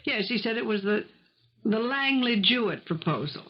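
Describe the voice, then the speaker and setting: A brisk, bossy housekeeper, very expressive. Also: female voice, on the high side. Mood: matter-of-fact. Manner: firm, crisp, lecturing. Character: brisk, bossy, no-nonsense housekeeper, steady and practical. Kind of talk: old-time radio drama acting. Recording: muffled 1970s radio broadcast.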